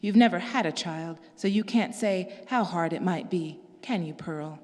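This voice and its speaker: A woman's voice: on the low side, moderate pace, lightly acted. A woman doing a stubborn, guarded young mother. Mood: defiant. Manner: firm, clipped, quietly defiant. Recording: long reverb.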